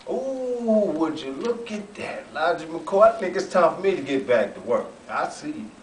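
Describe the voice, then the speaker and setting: A man playing a teasing, easygoing train porter in an amateur read-through of a period sketch, playful and expressive. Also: on the high side, normal speed. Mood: amused. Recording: roomy, distant, noisy amateur recording.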